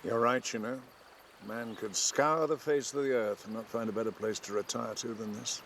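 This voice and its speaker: A man acting a courteous, measured gentleman doctor in a film drama. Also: mid-range voice, fairly even intonation, slight background noise, close to an everyday voice.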